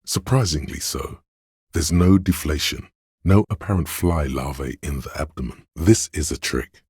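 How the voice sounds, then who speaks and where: A man voicing a detective's analytical inner voice in a video game, grave and coolly exacting, with deliberate, clinical narration and dry judgment. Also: darkish tone, breathy edge, no room sound, exaggerated voice. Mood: clinical, measured. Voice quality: very deep, resonant bass. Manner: deliberate, clinical, measured, dryly judging. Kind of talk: dramatic second-person game narration.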